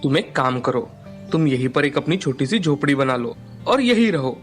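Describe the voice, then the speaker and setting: A man's voice: medium pitch, very animated, normal speed, faint music behind, natural voice. A man playing a kind, helpful villager with a straightforward manner in a children's moral story. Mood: kindly.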